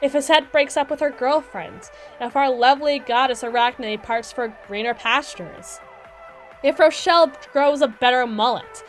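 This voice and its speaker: A woman playing a brisk, condescending mermaid lawyer in a scripted ASMR roleplay, articulate and pointed, lecturing with deliberate dramatic pauses and dry wit. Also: sits high, lightly acted. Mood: pointed, probing. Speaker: audio roleplay performer. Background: background music.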